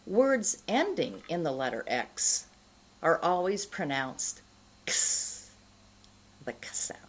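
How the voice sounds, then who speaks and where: A middle-aged American woman teaches pronunciation at a slow, deliberate pace. Her voice sits a bit low and is slightly husky, with very animated intonation. She enunciates carefully, as a teacher leading learners through a lesson. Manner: deliberate, careful enunciation, pausing between words. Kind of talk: instructional lesson to camera. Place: indoor studio.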